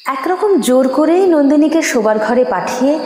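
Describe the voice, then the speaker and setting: A woman's voice: sits high, animated, moderate pace, warm tone. A woman narrating a horror story in a dramatic, scripted style. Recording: echoey, long reverb.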